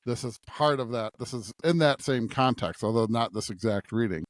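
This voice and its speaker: A man's voice: mid-range voice, normal speed. A man co-hosting a podcast, casually discussing scripture and thinking aloud as he checks in with his partner. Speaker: podcast co-host.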